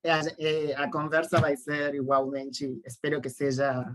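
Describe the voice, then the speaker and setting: A speaker from Argentina with a Spanish accent giving an informal academic talk on a video call, halting and repeating words. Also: medium pitch, completely flat delivery, fairly bright tone. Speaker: guest lecturer, academic and activist. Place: online Zoom call.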